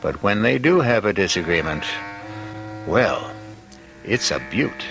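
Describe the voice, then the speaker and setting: A man hosting an old-time radio mystery drama, narrating theatrically in a wry, knowing, deliberate way. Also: lowish voice, very animated, creaky, noisy background.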